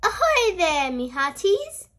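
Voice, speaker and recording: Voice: very high voice, sing-song, takes it slowly, pushed and bright, voice pushed up, heavily acted. A young-sounding reader voicing a cheerful old grandpa pig playing pirate in a storybook, hearty and playful.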